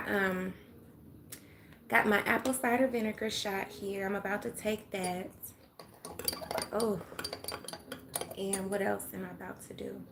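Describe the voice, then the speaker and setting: A woman's voice: medium pitch, fairly bright tone, a little hiss or hum. An older woman from Atlanta with a Southern accent, chatting casually with viewers on a phone livestream, folksy and talkative, sometimes dropping to a murmur. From Atlanta, Georgia. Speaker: livestream host. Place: home room with sunlight.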